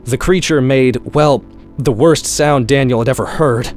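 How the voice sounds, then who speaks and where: A man reading a horror-comedy short story aloud in an expressive, wry, profane style. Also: medium pitch, unhurried. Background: background music.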